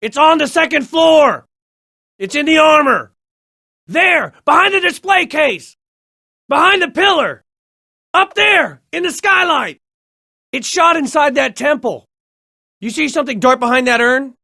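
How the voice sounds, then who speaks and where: A man playing an excitable bystander in a video game, shouting short, urgent callouts. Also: very high voice, unhurried, vocal fry, pauses often, exaggerated voice. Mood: excited, urgent.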